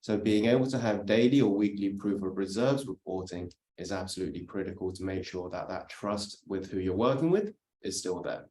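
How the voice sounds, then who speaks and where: A man's voice: sits low, lively intonation, a little fast, few pauses, dark tone, echoey room, poor audio. A man from the UK with a British accent, giving an explanatory conference presentation. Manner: explanatory, frequent "let's say" and "for instance". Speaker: conference speaker. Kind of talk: presentation talk.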